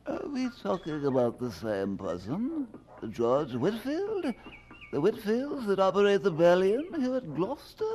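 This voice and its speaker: A man's voice: sits high, huge pitch swings, unhurried, darkish tone, heavily acted. An actor playing a fussy, aggrieved clergyman in a radio drama, querulous, incredulous and formal. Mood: incredulous, miffed. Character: fussy, aggrieved clergyman called Old Cole.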